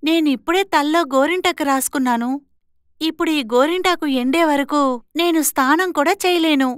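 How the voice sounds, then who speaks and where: A woman voicing a bold, assertive young twin sister in an animated moral story, speaking with confidence. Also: high voice, fairly quick, no echo, studio quality, close to an everyday voice. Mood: cheeky, defiant.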